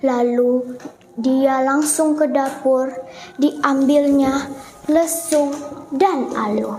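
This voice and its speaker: A woman's voice: high voice, extremely slow, dark tone, faint music behind. A young female storyteller telling a folk tale in a dramatic style. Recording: echoey room.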